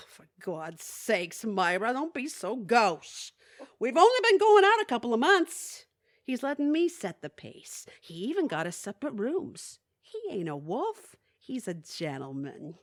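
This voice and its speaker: Animated, kvetching older widow. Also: female voice, on the high side, measured pace. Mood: exasperated, wry. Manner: kvetching, folksy, Yiddish-peppered. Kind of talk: audio theatre comedy acting.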